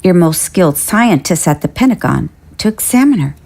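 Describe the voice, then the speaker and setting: A woman in an audio drama playing a practical, respectful nurse with a measured, deferential manner. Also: lowish voice, big pitch swings, vocal fry, darkish tone, full character voice. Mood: urging. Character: practical, respectful female nurse to a president's family. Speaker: voice actor.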